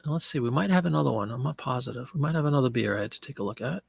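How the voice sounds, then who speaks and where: A man's voice: mid-range voice, lively intonation, rapid-fire, vocal fry, breathy edge, no echo. A middle-aged man with a head cold, sounding congested, chatting casually on a live stream and answering viewers as he lists items for sale. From Pennsylvania. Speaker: live-stream eBay seller.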